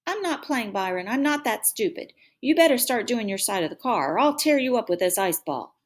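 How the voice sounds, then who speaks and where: A woman voicing an excitable, indignant young boy in a storybook, urgent and stubborn. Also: fairly high pitch, a little fast, pitched above the performer's own voice. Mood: defiant.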